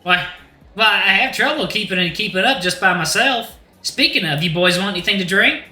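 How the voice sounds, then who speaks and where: A man's voice: high voice, lively intonation, fairly quick, sharp tone, roomy sound, soft background music, pitched above the performer's own voice, exaggerated voice. A man voicing a flamboyant, sassy rooster drag queen bar owner with a folksy, effusive Southern American accent. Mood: sassy, welcoming. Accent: put-on American Southern. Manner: folksy, effusive, uses terms of endearment.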